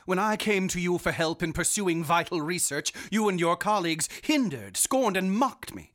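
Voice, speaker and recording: Radio-drama lead: icy, arrogant young researcher, high and sneering. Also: male voice, animated, moderate pace, a bit bright. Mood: scornful. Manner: haughty, scornful, clipped. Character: cold arrogant young male medical researcher.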